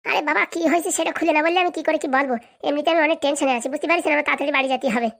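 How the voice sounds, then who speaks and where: Casting: actress for nervous village oil-maker in cartoon, high voice, hurried speech, gullible. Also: female voice, fairly even intonation, creaky. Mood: impatient. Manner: worried, questioning. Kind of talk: comedy cartoon dialogue.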